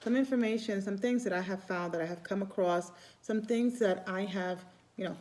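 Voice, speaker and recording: A woman's voice: mid-range voice, moderate pace, a little mellow, a little hiss or hum. A middle-aged woman from Cuba who is a Santeria priestess, talking in a casual, rambling way on her vlog and often restarting her phrases. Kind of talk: casual spiritual vlog talk.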